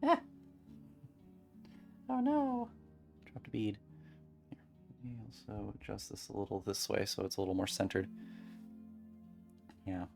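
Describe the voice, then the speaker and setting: A streamer chatting casually and calmly while beading at a home desk, rambling gently with many fillers. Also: low-pitched, vocal fry. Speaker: crafting streamer.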